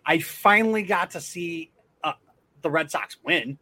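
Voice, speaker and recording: Excitable, profane man hosting a podcast. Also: on the high side, unhurried. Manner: loose and profane, with frequent restarts. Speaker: podcast host. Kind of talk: casual sports chat.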